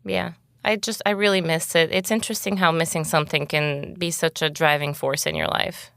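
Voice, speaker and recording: Low-voiced Bulgarian-born woman guest. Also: fairly even intonation, fairly quick, vocal fry, fairly bright tone, clear, resonant tone, dry recording, studio quality. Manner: candid, reflective storytelling. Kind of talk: casual interview talk.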